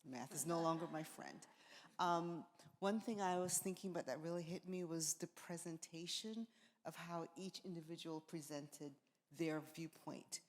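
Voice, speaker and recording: Deep-voiced older woman in an echoey hall. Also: moderate pace. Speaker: veteran nurse. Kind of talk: personal remarks to an audience. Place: large indoor hall at a live event. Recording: echoey hall with long reverb.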